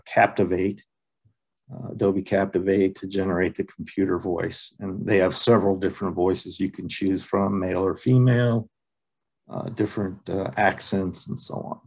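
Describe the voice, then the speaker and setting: A man presenting a software training webinar over a video call, relaxed and halting, with many ums and uhs. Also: sits low, creaky, breathy edge. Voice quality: plain, unexciting voice. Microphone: computer mic on a video call.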